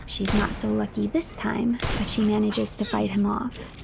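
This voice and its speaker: A woman narrating a horror game as a dramatic third-person story, with breathy dips toward a whisper. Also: medium pitch, level tone, moderate pace, very noisy.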